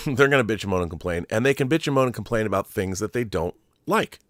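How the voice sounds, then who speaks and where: A middle-aged man delivers opinionated film commentary in a very lively, swinging pitch. The sound is dry and close, and he leans hard on key words, pausing and repeating phrases to make his point. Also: no echo. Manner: emphatic, deliberate, with stressed pauses and repeated phrases. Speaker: host of a film commentary show. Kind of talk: opinionated monologue to viewers about film collectibles.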